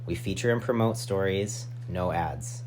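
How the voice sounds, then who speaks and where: A man with a low voice speaks as a podcast host. He narrates an introduction, with a faint hum and noise in the recording. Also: measured pace, darkish tone.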